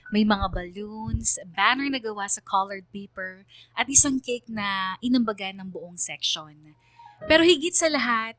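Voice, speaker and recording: A woman's voice: medium pitch, a bit bright, dry recording, lightly acted. Woman as a reflective student narrator, dry and close. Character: reflective student narrator, quietly empathetic toward her teacher. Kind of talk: scripted first-person radio drama narration.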